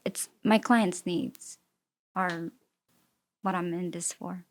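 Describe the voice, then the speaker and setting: A woman who is a native Chinese speaker, answering interview questions as a real estate agent in an earnest, halting way. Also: fairly low pitch, steady tone. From immigrated with a Chinese-speaking family. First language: Chinese (Mandarin and Fujianese).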